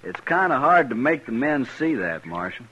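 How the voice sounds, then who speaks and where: An actor playing a proud, embittered Texan cattle boss in a 1950s western radio drama, with a folksy, plain-spoken manner. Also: male voice, medium pitch, very animated, moderate pace, pushed and bright. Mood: bitter. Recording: muffled, noisy vintage radio recording.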